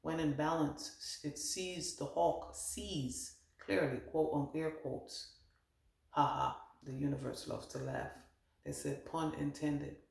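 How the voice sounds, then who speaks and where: A man's voice: fairly high pitch, fairly even intonation, slow, vocal fry. A man working as a medium, giving a meandering channeled card reading and relaying what spirits tell him. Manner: meandering, thinks aloud, relays spirit messages. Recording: roomy echo.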